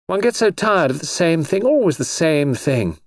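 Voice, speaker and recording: A man's voice: on the high side, big pitch swings, normal speed, no echo, studio quality, voice pushed up. A man voicing a thrill-seeking, languid young society lady in an audiobook, with a gushing upper-class drawl. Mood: languid, bored. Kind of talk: audiobook character voice.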